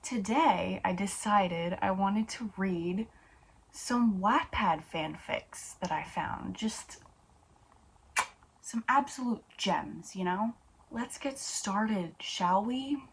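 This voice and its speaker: A woman's voice: mid-range voice, slow pace, vocal fry, a little hiss or hum. A woman hosting a comedic read-aloud, playful and mock-dramatic, narrating a story and dropping in her own asides. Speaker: YouTube host.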